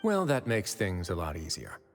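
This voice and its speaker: A wry, self-assured prison warden with a lowish voice that speaks calmly and dryly. A long reverb trails his words. Light game music sits behind him. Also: normal speed, heavily acted. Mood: easygoing. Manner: calm, dry, confident. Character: calm, wry male prison administrator. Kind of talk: video game voice acting.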